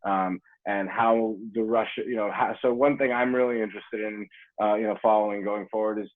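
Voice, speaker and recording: A man giving halting, discursive analysis as a foreign policy panelist, heard over a muffled, echoey online call. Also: on the low side, steady tone.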